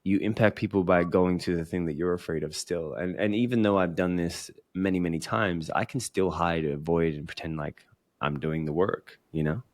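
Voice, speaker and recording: A man's voice: sits low, steady tone, moderate pace, a little mellow, no echo. A man speaking as an interview guest and coach, explaining things in an earnest, instructive, conversational way. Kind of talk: conversational explanation of personal growth.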